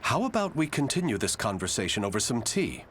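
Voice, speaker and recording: A man's voice: mid-range voice, big pitch swings, fairly bright tone, slight background noise. An actor playing a refined, devoted yet scheming nobleman swordsman in a video game, speaking formally and eloquently. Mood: polite, firm. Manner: formal, eloquent, measured diction. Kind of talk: video game cutscene acting.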